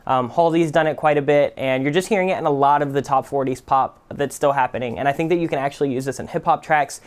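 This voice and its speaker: A man giving a casual, conversational software tutorial as the host. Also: a little high, fast, clear, resonant tone. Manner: informal, conversational. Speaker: music production tutorial host. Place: indoors at a computer.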